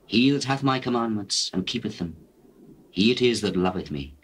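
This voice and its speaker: Casting: grave, gentle master teacher, lowish pitch, stately declamation for dramatized scripture. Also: male voice, animated, breathy edge, poor audio. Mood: solemn, assured. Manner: measured, solemn, declamatory. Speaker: actor in dramatized Bible recording. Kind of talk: dramatized scripture reading in archaic English.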